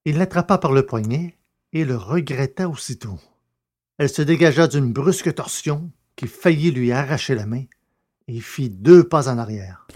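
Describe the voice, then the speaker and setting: A man's voice: mid-range voice, lively intonation, moderate pace, darkish tone, no echo, studio quality. A man reading scripted narration for a science fiction audio drama.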